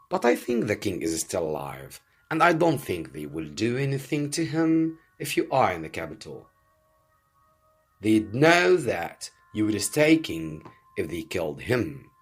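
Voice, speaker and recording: Man acting out a commanding, husky soldier. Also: on the low side, big pitch swings, a little slow, faint music behind, heavily acted. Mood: firm, rousing. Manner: forceful, urgent, persuasive. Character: commanding, determined male soldier urging a plan.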